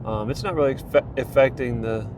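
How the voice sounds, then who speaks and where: A man's voice: mid-range voice, moderate pace. A man with a congested voice giving a rambling, casual vlog update on his training, full of fillers and restarts. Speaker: vlogger tracking a training program. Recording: noisy outdoor recording.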